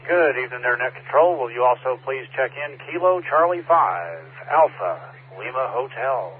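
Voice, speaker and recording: Medium-pitched man over tinny radio. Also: expressive, moderate pace. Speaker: amateur radio operator. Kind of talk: radio check-in with phonetic call signs. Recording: narrowband radio with faint hum.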